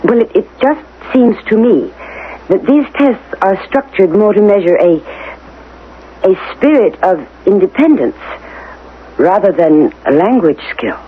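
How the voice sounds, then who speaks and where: A woman acting in a radio drama as an anxious, dutiful administrator of a regimented future, speaking formally and haltingly. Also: mid-range voice, sing-song. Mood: uneasy, puzzled. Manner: hesitant, faltering, formal. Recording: tinny, hissy 1980 radio broadcast.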